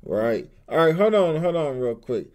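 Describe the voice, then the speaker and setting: A man's voice: a little high, sing-song, moderate pace, a little mellow. A man hosting a casual live-stream interview, talking in an informal, slangy way. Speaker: host of an online call-in show.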